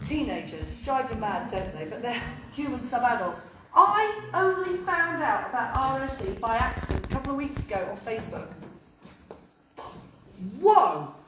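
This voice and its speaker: Loud, blunt woman in an echoey hall. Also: on the high side, sing-song, normal speed. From North Wales. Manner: blunt, emphatic, rising to indignant questions. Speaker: county councillor. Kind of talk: public meeting speech. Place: chapel hall. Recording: echoey hall from far off with faint noise.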